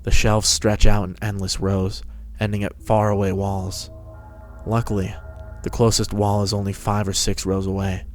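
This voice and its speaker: A man's voice: fairly low pitch, flat delivery, normal speed, soft background music. A man narrating a fan-fiction story as a scripted dramatic reading.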